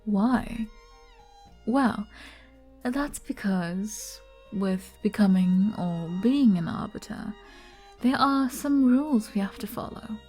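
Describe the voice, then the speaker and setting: Woman playing a coy, slow-talking flirty arbiter bartender. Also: mid-range voice, slightly rough, faint music behind. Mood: calm explaining. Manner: coy, soft-spoken, explaining patiently. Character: flirty, gentle female arbiter bartender, a humanlike dummy. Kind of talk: ASMR roleplay acting.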